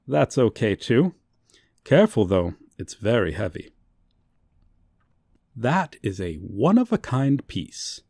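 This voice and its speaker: A man acts out a cheerful, chatty male shop clerk in an ASMR roleplay. His pitch moves around freely while the pace stays slow and unhurried. The sound is dry and close, with polite sales patter and playful asides. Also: creaky, a little mellow, no room sound, heavily acted.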